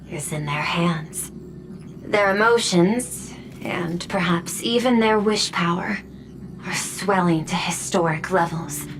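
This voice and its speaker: A woman voicing a polite, dignified video game official with a formal, measured delivery. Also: medium pitch, lightly acted. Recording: music under the voice.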